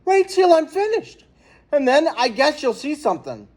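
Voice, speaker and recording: A man voicing an excitable, boastful suburban dad in a children's storybook read-aloud. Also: extremely high pitch, normal speed, voice pushed up. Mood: flustered, defiant. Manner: boastful, eager, exclaiming. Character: excitable suburban dad obsessed with Christmas decorations.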